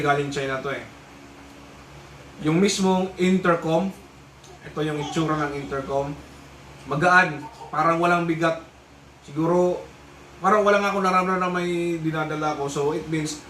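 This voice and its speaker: A man's voice: on the high side, fairly bright tone. A man with a Filipino accent giving a casual, explanatory product review, often repeating himself as he goes. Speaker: vlogger reviewing a motorcycle intercom. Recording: echoey room, distant mic, faint noise.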